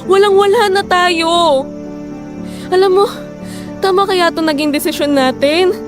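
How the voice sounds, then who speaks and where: A woman's voice: very high-pitched, steady tone, a little slow, music in the background. A woman playing a weary, frustrated wife who worries over household money in a Filipino audio drama. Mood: upset, despairing. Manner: complaining, pleading, emotive.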